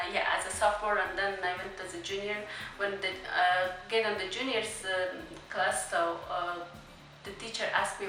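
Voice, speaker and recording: A woman in her twenties, a college student, telling her story in an interview with many pauses and fillers. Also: mid-range voice, pushed and bright, recorded from a distance. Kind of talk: personal interview. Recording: echoey room from afar, music bed.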